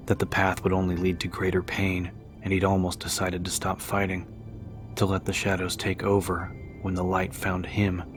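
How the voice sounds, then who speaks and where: A man narrating a dramatic story in a scripted audiobook style. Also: sits low.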